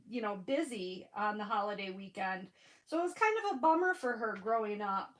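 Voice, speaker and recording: A woman's voice: on the high side, poor audio. A woman in her forties with a Michigan accent and a stuffy nose, chatting casually on a live stream and greeting viewers by name. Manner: frequent fillers and restarts. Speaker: livestream crafting host.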